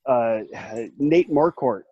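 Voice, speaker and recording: A man's voice: medium pitch, slow, breathy edge, dry recording, dull audio. A man in his late thirties, hosting a casual group chat, rambling with many restarts and laughing often. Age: 30s. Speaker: podcast host.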